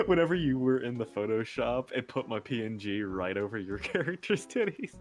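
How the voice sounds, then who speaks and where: A man's voice: medium pitch, very animated, normal speed. A man bantering casually as a guest on a livestream, playful and mock-dramatic, with some swearing. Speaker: guest streamer, ASMR creator.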